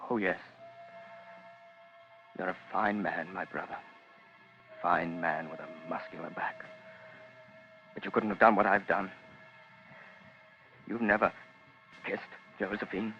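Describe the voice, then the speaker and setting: An actor playing a troubled, secretive young British gentleman in an old radio drama, speaking hesitantly and intensely. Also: sits low, fairly even intonation, takes it slowly, long pauses, soft background music. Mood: brooding, exultant. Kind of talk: 1940s-50s radio drama acting. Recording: dull old radio broadcast, faint hiss.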